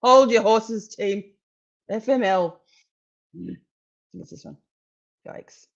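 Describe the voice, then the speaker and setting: A woman's voice: fairly high pitch, slow pace, muffled recording. A woman hosting a live stream and commenting on a trial in a rambling, chatty way, a bit wheezy today. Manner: chatty, rambling, self-correcting. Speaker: livestream host commenting on a trial. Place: at home with pets.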